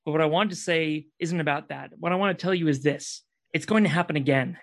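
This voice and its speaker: Man acting a desperate, traumatised kidnapper, higher-pitched voice. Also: fast talker, gravelly. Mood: accusing, insistent. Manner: accusatory, insistent, clipped. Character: traumatised, desperate male kidnapper confronting his captive. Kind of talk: read radio drama dialogue.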